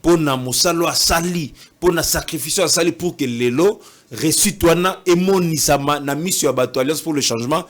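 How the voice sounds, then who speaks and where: A man's voice: a little high, fairly quick. A man from Congo with a Congolese French accent, an opposition party official, earnestly mixing Lingala and French in a casual interview.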